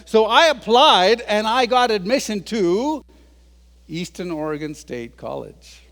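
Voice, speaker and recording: A man in his sixties tells stories to a crowd with a joking, self-deprecating touch. His voice sits high for a man, and it rings out with the long reverb of a large hall. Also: expressive, a little slow, fairly bright tone. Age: 60s. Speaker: guest speaker. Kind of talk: humorous public talk.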